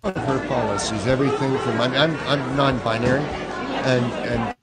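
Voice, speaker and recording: A man answering an interviewer slowly and hesitantly. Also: mid-range voice, steady tone, over a noisy crowd, very noisy.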